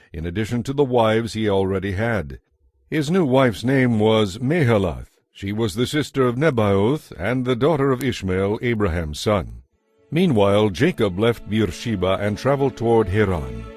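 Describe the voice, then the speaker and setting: Lowish-voiced man narrating scripture. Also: lively intonation, normal speed, a little mellow, dry recording, studio quality.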